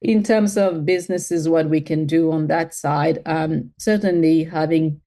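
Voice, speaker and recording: A woman's voice: low voice, fairly even intonation, unhurried, warm tone. A middle-aged woman from Mauritius, a guest on an interview, answering thoughtfully with frequent fillers and restarts. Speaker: guest, health tech CEO.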